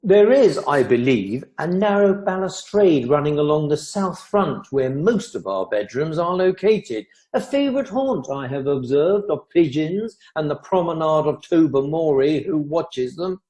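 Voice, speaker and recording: A man's voice: a little high, expressive, unhurried, few pauses, darkish tone. An arch country-house guest, played by a man in a radio play. The delivery is wordy and teasing, with very varied intonation and dry mischief. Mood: drily mischievous. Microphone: video call.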